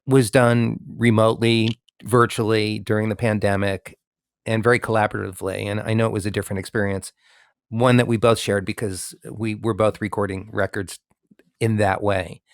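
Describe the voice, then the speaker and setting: A man's voice: fairly low pitch, flat delivery, moderate pace, no room sound, studio quality. A man hosting an interview, asking long, friendly, rambling questions with many fillers. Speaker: interview host, musician. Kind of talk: conversational interview.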